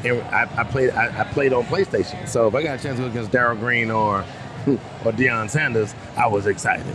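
A man who used to play co-hosts a sports chat in an easy, mid-pitched voice, often repeating himself as he starts a thought. Loud crowd and stadium noise sits clearly behind him. He sounds loose and conversational. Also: steady tone, normal speed. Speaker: former player, co-host. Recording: noisy postgame stadium setting.

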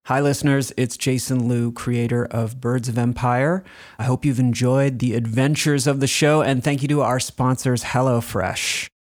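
Guy doing a podcast ad read, show creator, medium pitch, scripted. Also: fairly even intonation, moderate pace. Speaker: show creator reading a sponsor message.

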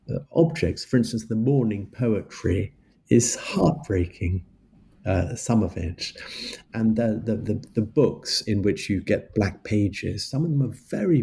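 Low raspy-voiced guy talking slowly about his book, lots of restarts. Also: warm tone. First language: English. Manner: halting, measured, many restarts. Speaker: author guest. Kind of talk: thoughtful interview answers.

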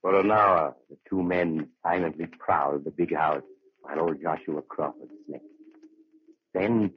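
An actor playing an eerie male storyteller, narrating ominously and with measured delivery in 1940s radio horror style. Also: extremely low pitch, noisy background. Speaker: story host. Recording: dull old radio broadcast.

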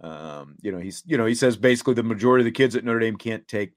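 A man hosting a sports talk show, reading and commenting in a deliberate way, laying out points step by step. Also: on the low side, lively intonation.

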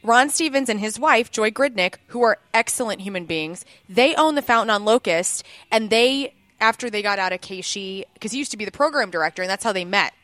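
A woman radio DJ with a St. Louis accent, telling stories in a quick, enthusiastic casual chat. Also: mid-range voice, expressive, a bit bright, no echo. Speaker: radio DJ, host.

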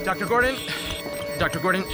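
Rapid flustered medic, urgent, audio drama, loud music behind. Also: male voice, sits high, animated. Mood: alarmed. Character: flustered hospital staffer reporting an emergency. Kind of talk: naturalistic audio drama acting.

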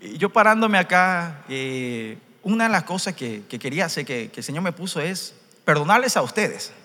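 Young Paraguayan-accented man, bright and a bit high, chatty testimony, church hall reverb. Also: sing-song, moderate pace. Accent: Paraguayan Spanish. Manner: conversational, tags lines with "¿no?". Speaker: interpreter and youth speaker. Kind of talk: testimony at a youth rally.

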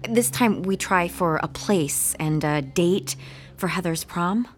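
A woman's voice: on the low side, slow pace, soft background music. A woman playing an earnest, troubled young artist in a naturalistic audio drama. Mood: earnest, probing. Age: young adult.

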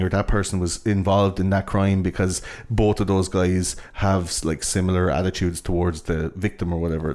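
A man's voice: low-pitched, a little mellow. A young man with an Irish accent, chatting casually and explaining things in an enthusiastic, rambling, sweary way.

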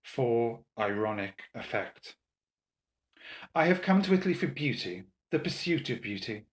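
A man plays an arrogant young English gentleman from a storybook. He is theatrically scornful and full of mischief, leaning on his words in a slow, mocking drawl. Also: on the high side, big pitch swings, echoey room, voice pushed up. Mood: haughty, defiant. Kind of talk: audiobook character dialogue.

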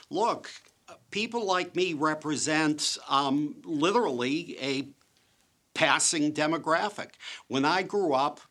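Bright-voiced older Boston man. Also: mid-range voice. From Boston, USA. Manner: measured, opinionated, some restarts. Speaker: political commentator. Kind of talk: public radio panel discussion.

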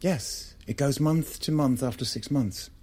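A man's voice: medium pitch, unhurried, dark tone, natural voice. A man playing a defensive estranged husband who wants change, in a naturalistic audio drama, justifying himself with some hesitation.

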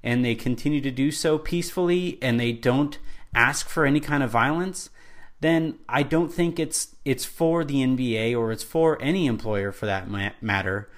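An earnest man co-hosting a sports talk podcast, his voice sitting at an ordinary middle pitch. He lays out his view carefully, one point after another, often restarting his sentences as he thinks them through. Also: normal speed. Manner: earnest, builds his argument step by step with restarts. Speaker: podcast co-host.

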